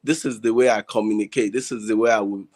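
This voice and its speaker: Male, Nigerian accent, lowish register, discussion guest; conversational, hesitant, repeats words while searching for phrasing. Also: steady tone, fast, a little mellow. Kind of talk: casual discussion. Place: online group video call.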